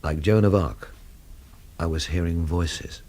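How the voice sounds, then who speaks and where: A man's voice: sits low, slow pace, a little mellow. An actor playing a wry, brooding, hard-drinking man who narrates and talks with dry, literate sarcasm in a radio drama. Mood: wry, reflective. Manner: dry, sardonic, literate phrasing. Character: world-weary, wry hard-drinking male storyteller, brooding and sardonic.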